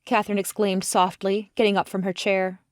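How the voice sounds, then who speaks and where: A woman's voice: mid-range voice, flat delivery, a little fast, no echo, studio quality. A woman reading scripted literary narration as an audiobook narrator.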